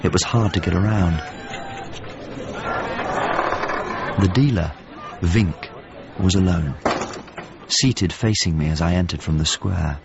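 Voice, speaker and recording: A deep, gravelly, solemn narrator. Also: male voice, highs cut off, background noise. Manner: measured, solemn, descriptive. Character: grave male narrator recalling paintings and past events. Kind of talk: literary radio drama narration.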